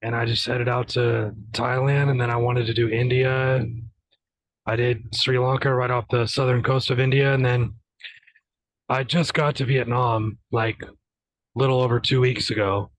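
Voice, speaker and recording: A man's voice: medium pitch, flat delivery, normal speed, no echo, rough recording. A young man from the United States, a podcast guest, talking in a laid-back, hesitant way with lots of fillers. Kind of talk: casual interview chat.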